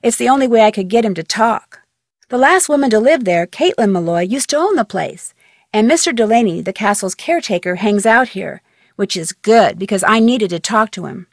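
A young woman with an American accent playing a chatty, curious, level-headed amateur detective in a video game, speaking conversationally with clear diction. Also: medium pitch, expressive, normal speed, no room sound, clean studio sound. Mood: chatty, explaining. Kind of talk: video game voice acting, conversational phone-call dialogue.

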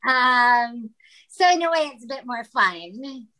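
Woman guest from the Philippines, slow and halting with lots of fillers, bright voice, a little high. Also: lively intonation, poor audio. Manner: hesitant, many fillers and restarts. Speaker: guest, writer and actress. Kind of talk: casual interview conversation.

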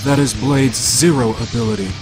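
A man playing a grave scientist sidekick, husky and serious, explaining things in an anime parody dub. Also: medium pitch, normal speed, over loud music, lightly acted, poor audio. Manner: expository, serious.

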